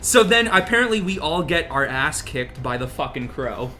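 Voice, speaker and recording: Jokey young man over loud music. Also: fairly high pitch, very animated. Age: young adult. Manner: jokey, profane, quick to laugh. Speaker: podcast host. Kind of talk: casual chat and script read-aloud among friends.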